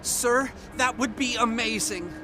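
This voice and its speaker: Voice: medium pitch, a little slow, background noise, natural voice. An actor playing an earnest young male crew member in a video game cutscene. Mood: grateful, excited.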